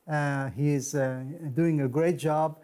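A middle-aged man whose first language is Hebrew, speaking as a guest minister in an interview, earnest and preacherly with emphatic pauses. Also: on the high side, fairly even intonation, warm tone, clear, resonant tone. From Israel. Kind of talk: interview, testimony and blessing.

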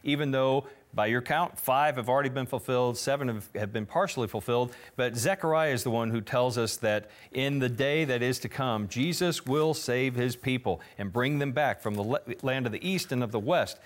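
A man's voice: mid-range voice, moderate pace, few pauses. A man co-hosting a Bible prophecy TV program, speaking earnestly and affirming his partner. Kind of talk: conversational Bible teaching.

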